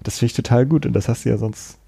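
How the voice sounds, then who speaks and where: A man's voice: mid-range voice, fast, creaky, warm tone, breathy edge, no room sound. A man from Kiel in northern Germany chatting casually as the host of a conversation. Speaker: podcast host. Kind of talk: casual chat.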